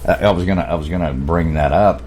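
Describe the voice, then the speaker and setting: Very deep-voiced male radio host. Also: fast talker. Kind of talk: casual radio interview. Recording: noisy radio recording.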